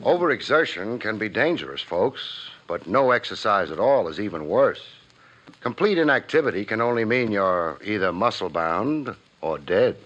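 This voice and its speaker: Wry low-pitched radio private eye. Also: male voice, very animated, moderate pace, fairly bright tone. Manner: dry, wisecracking, punchy. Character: wry, hard-boiled private detective narrating his own cases. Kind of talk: 1950s radio noir narration and dialogue. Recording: muffled old radio recording, faint music.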